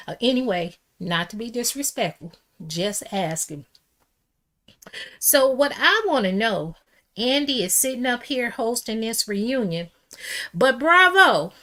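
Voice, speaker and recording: Loud sassy woman with a high voice. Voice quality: loud, strong voice. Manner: sassy, calls listener "baby", emphatic asides. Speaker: pop culture commentator. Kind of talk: casual opinion monologue.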